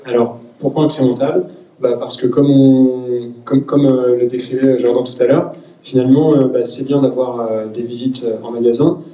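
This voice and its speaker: Mellow panelist full of fillers. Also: male voice, mid-range voice, steady tone. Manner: measured, many fillers. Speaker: panelist, company executive. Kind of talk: round-table discussion. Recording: echoey room, far from the mic.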